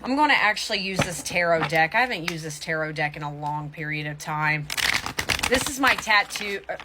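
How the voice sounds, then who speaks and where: A woman's voice: low voice, animated, heavy background noise. A woman giving a casual tarot reading to viewers, speaking emphatically and often stopping to correct herself mid-thought. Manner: emphatic, halting and self-correcting. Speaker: tarot reader.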